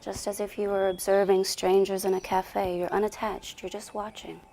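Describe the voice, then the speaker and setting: A woman leading a guided meditation in a soothing, deliberate way with long pauses, her soft voice often sinking toward a whisper. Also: mid-range voice, level tone, creaky, a little hiss or hum. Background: music.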